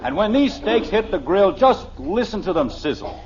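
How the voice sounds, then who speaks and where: A folksy, optimistic American family man in a vintage radio sitcom. His high, husky voice rises and falls in a hearty, boastful way. The recording sounds dull and old. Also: big pitch swings, normal speed, a little mellow, a little hiss or hum. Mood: eager, boastful. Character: folksy, stubbornly cheerful small-town American husband, middle-aged.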